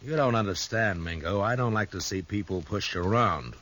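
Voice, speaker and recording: An actor playing a weary, principled frontier marshal in a 1950s radio western, calm, firm and measured. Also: male voice, fairly low pitch. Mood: stern. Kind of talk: 1950s radio drama acting.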